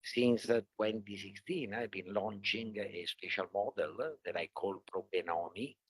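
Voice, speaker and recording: Male panelist in his sixties or older, Italian accent, lowish pitch, halting and jokey conversational delivery, dry sound. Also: slightly rough, no echo, poor audio. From Italy. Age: 60s or older. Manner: jokey, halting with many pauses. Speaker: panelist, property entrepreneur. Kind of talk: casual self-introduction to a live audience.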